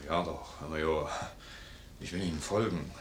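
An actor playing a loyal, plain-spoken, stubbornly generous sergeant in a 1950s German radio play, hearty and earnest. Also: male voice, a bass voice, moderate pace, slight background noise. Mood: pleading, heartfelt. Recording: roomy vintage radio studio recording.

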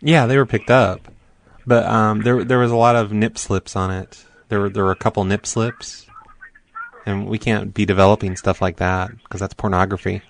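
A man's voice: on the low side, fairly even intonation, normal speed, gravelly, slight background noise. A man playing a pushy, rude photo lab clerk on a prank phone call, stammering and bluntly repeating himself. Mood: matter-of-fact accusing. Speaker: prank caller.

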